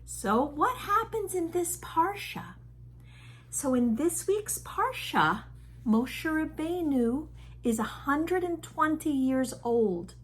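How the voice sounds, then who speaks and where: A woman teacher telling a story to young children with deliberate, expressive phrasing. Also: fairly high pitch, slightly rough.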